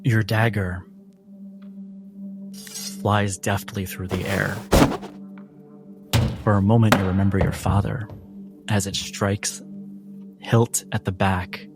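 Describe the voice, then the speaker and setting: A man running a tabletop game as game master, narrating in measured, dramatic phrases. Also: fairly low pitch, flat delivery. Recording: music bed under the voice.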